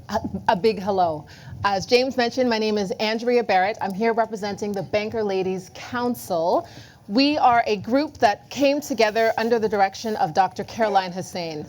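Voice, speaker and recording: A woman's voice: medium pitch, a little mellow, slight background noise. A woman from Toronto introducing herself on a conference panel in a friendly way, with small restarts. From Toronto, Canada. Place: conference room.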